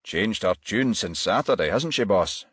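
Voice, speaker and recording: A man's voice: fairly low pitch, animated, a little slow, a bit bright, slightly rough, dry recording, clean studio sound, exaggerated voice. A man voicing a jovial, wry male detective sergeant chatting matily in an audiobook. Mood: wry, cheerful. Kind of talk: audiobook character voice.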